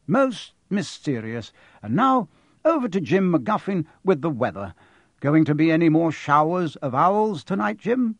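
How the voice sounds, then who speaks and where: A man's voice: on the high side, very animated, a little slow, no echo, pitched above the performer's own voice, full character voice. A man voicing a polished, jaunty male television newsreader with emphatic announcing in an audiobook. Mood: amused, jaunty.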